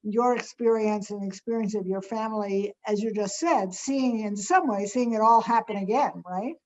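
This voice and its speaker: A woman's voice: medium pitch, moderate pace, a little mellow, low-quality recording. A woman from the United States moderating a webinar in a chatty way, over a video call. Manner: chatty, with restarts.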